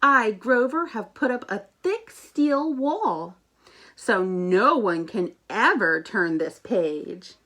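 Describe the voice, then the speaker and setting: A woman's voice: medium pitch, lively intonation, slow, sharp tone, full character voice. A woman voicing an anxious, exasperated male furry monster from a children's storybook, theatrical and pleading. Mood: insistent, panicked. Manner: exasperated, emphatic, theatrical delivery.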